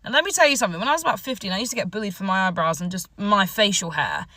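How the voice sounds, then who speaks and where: Bright, dry, animated young woman. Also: medium pitch, very fast, no echo. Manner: animated, exaggerated, self-mocking. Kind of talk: comedic storytelling to camera.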